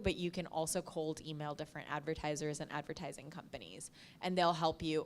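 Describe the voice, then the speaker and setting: A woman podcast producer on a panel, giving informal advice in a practical, instructive way. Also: lowish voice.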